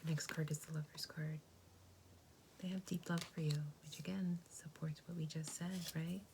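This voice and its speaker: Low flat whispery lady doing a tarot reading, soft and gentle, hissy recording. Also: moderate pace, vocal fry. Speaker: tarot reader.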